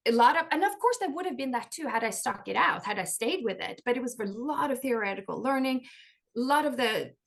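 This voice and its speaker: Chatty Norwegian-born woman, higher voice. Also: expressive, fairly quick, vocal fry, fairly bright tone. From Norway. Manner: chatty, many "like" fillers, laughs often. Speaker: guest, life coach and author. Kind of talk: casual interview storytelling.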